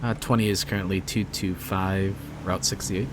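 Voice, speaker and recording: A man's voice: low-pitched, steady tone, moderate pace. A man streaming a police roleplay, chatting casually and explaining procedure to his passenger, his voice starting to wear out. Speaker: streamer playing a police supervisor. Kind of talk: casual chat during roleplay patrol. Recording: noisy game engine sounds behind.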